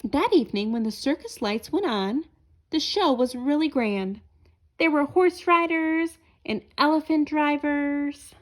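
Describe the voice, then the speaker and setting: A woman reads a children's storybook aloud. Her voice is high, with very lively rises and falls, and her narration is expressive and aimed at young listeners. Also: a little slow. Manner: asks listeners questions as she reads. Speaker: storybook reader.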